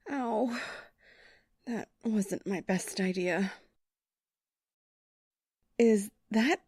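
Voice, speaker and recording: A woman's voice: medium pitch, darkish tone, breathy edge, dry recording, studio quality, full character voice. A woman playing a self-important but jittery young documentary maker in a horror audio drama, chattily narrating her surroundings. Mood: uneasy muttering.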